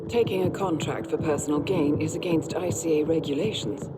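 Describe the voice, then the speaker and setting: A woman playing a poised, dryly witty intelligence handler in a video game, composed, wry and crisp. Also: sits low, a little fast, lightly acted. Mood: wry, assured. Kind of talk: cinematic video game acting. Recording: loud music behind the voice.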